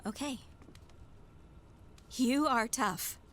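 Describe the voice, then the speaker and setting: Radio drama caregiver, female: highish voice, caring but probing, dryly teasing, persuasive, naturalistic delivery. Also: drawn-out words, fairly bright tone. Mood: earnest. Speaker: actor in an audio drama.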